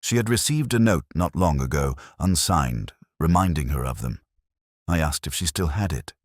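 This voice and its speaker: A man reading a scripted first-person mystery story in a measured, solemn, literary manner. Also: extremely low pitch, animated, no room sound, studio quality. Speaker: narrator.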